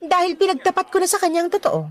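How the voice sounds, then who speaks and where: A woman's voice: very high voice, fast. A woman playing a spiteful, boastful love rival in a radio drama, with a haughty, taunting manner. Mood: smug. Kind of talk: Tagalog radio drama acting.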